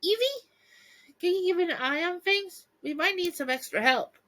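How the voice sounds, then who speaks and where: A woman voicing an earnest cartoon boy leader in a storybook, giving instructions in a deliberate manner. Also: extremely high pitch, lively intonation, a bit bright, voice pushed up. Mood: urgent.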